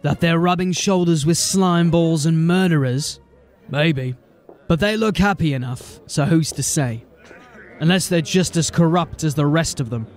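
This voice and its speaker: Blunt, wry lawman of a fantasy city watch, played by a man, slightly high-pitched, grumbling. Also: a little slow, darkish tone, soft background music, natural voice. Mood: wary. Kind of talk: fantasy audio drama acting.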